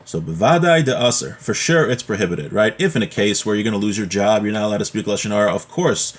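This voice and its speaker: A man's voice: fairly low pitch, fast talker. A man giving an informal religious lesson, reading from a text and explaining it point by point with rhetorical questions. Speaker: religion teacher giving a class.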